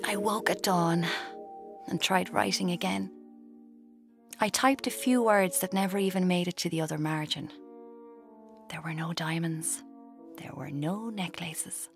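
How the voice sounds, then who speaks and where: A woman's voice: on the low side, fairly even intonation, unhurried, creaky, long pauses. Restless young Irish woman, twenties, blunt, moody, confessional radio drama, prominent music. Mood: brooding, discontented. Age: 20s. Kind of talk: radio drama, confessional first-person narration and dialogue.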